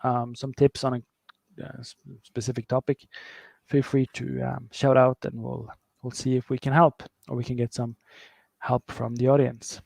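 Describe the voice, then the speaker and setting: Gravelly man hosting an online meetup. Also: mid-range voice, moderate pace, dry recording. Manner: relaxed, hesitant with many fillers. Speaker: meetup host.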